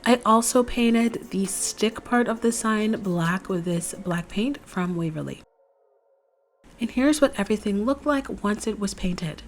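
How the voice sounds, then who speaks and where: Young female craft host, a bit hoarse from a cold, chatty tutorial voice-over, mid pitch, faint music under her. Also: measured pace. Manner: chatty, relaxed, explains steps plainly. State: slightly hoarse, recovering from a cold. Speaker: DIY craft channel host. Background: faint background music.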